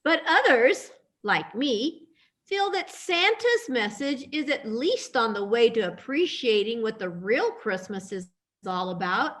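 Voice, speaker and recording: A woman's voice: a little high, animated, measured pace, a bit bright. A woman reading the part of an outspoken, affectionate friend in an amateur radio play, with emphatic delivery. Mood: earnest.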